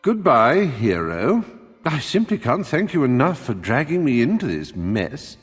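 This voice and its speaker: An actor playing a vain, selfish, decadent gentleman rogue in a fantasy game, pompous, theatrical and sardonic. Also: medium pitch, very animated, unhurried, slightly rough. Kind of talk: comic fantasy game acting. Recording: long reverb, music behind.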